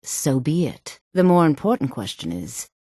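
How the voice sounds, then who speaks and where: A proud senior sorceress who guards a mages' college in a fantasy game. Her voice sits low and sultry. She speaks formally and with measured, lofty composure, in a very dry recording. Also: big pitch swings, mellow, breathy edge, no room sound, full character voice. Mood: stately, assured. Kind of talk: fantasy video game voice acting.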